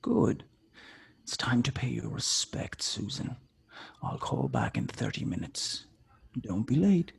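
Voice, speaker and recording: Menacing male kidnapper, played by an actor, very raspy and creaky, controlled orders and threats, screenplay read. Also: fairly low pitch, wildly swooping pitch, slow, exaggerated voice. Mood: cold, controlled. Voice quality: husky, breathy, gravelly.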